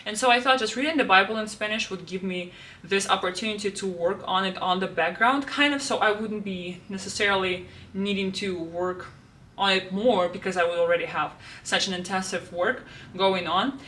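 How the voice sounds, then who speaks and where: A young woman talks through her plans in a loose, chatty way, circling back with "like" and "you know." Her voice is bright and sharp, picked up from a little distance. Faint background noise sits under it. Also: medium pitch, moderate pace. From Russia. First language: Russian. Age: 20s. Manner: chatty, many fillers and restarts. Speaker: vlogger. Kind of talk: casual planner walkthrough. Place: home room.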